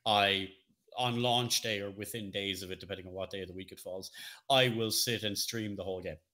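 A man's voice: fairly low pitch, fairly even intonation, fairly quick, sharp tone. A man co-hosting a casual podcast, talking in a chatty, jokey way. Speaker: co-host, streamer.

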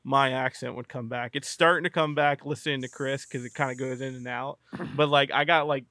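A man's voice: mid-range voice, fairly even intonation, brisk, bright, forceful tone, no room sound, clean studio sound. A man from North Carolina with a faint, mixed Southern and mid-Atlantic accent, chatting in a rambling, self-deprecating way. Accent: light Southern US, mid-Atlantic.

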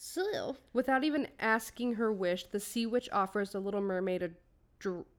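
A woman's voice: medium pitch, moderate pace. A woman co-hosting a podcast, telling a story in a casual, comedic, half-scripted way with playful, giggly, sweary delivery.

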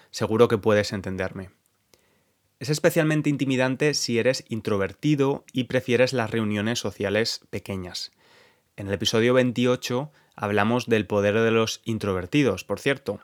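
A young man from Spain with a Spanish accent, hosting a podcast and explaining things clearly and calmly to Spanish learners. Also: on the low side. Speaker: podcast host teaching Spanish. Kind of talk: scripted podcast monologue for learners.

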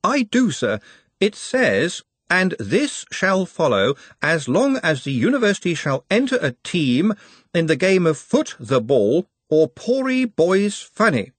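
A guy doing a fussy nervous young wizard, hesitant, husky, voice bouncing high and low. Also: big pitch swings, a little slow, no echo, full character voice. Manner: hesitant and precise, with fussy hedging. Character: anxious, pedantic young male wizard.

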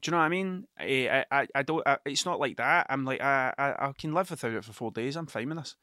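A man's voice: mid-range voice, fast talker, sharp tone, no echo, clean studio sound. A middle-aged man with an Ayrshire Scottish accent, rambling through a casual storytelling monologue full of swearing and "do you know what I mean". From Ayrshire, Scotland. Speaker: podcast host.

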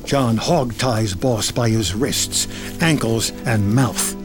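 A man reads scripted film narration, giving present-tense stage directions in a dramatic, deliberate delivery. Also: mid-range voice, over music. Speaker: screenplay narrator.

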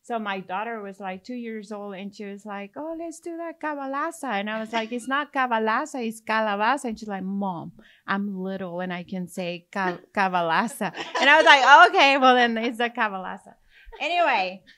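A woman's voice: a little high, moderate pace, a bit bright. A middle-aged woman from Mexico with a Mexican Spanish accent, hosting a podcast and chatting casually, rambling through stories with lots of fillers. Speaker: podcast host. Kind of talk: casual chat.

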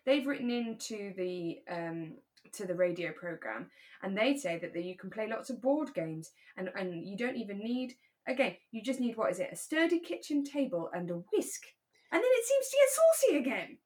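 A woman's voice: medium pitch, big pitch swings, normal speed, a little mellow. A British woman co-hosting a podcast, chatting casually about a radio drama in a chatty, giggly way. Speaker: podcast co-host.

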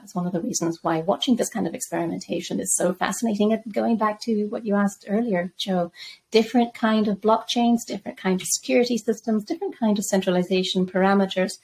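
A middle-aged woman who grew up in Zambia, giving measured, articulate answers as an interview guest. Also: medium pitch, darkish tone, slight background noise, poor audio. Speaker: guest crypto researcher.

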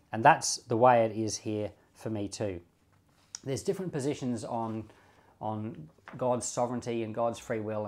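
A man giving informal Bible teaching as a pastor, reasoning aloud with rhetorical questions and repeating key points for emphasis. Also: mid-range voice. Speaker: pastor and Bible podcast host.

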